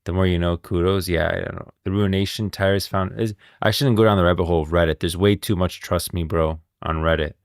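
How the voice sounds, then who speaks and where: A man's voice: deep voice, steady tone, a little fast, vocal fry, few pauses, no room sound, clean studio sound. A man streaming casual commentary on game lore, thinking aloud in a rambling way and often repeating himself. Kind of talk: casual live reaction commentary.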